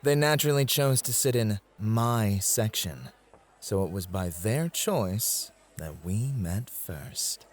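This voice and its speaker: A man playing a slow, deep, possessive club host. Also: expressive. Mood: composed, devoted. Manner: slow, smooth-talking, condescending toward his rival. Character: possessive, devoted male club host named Roy, courting a guest. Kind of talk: ASMR roleplay acting.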